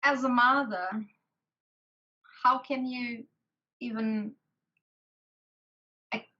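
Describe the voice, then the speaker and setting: A Portuguese woman with an accent, hosting a video call interview in English, talking haltingly with many restarts. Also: fairly high pitch, steady tone, pushed and bright. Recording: lo-fi call audio.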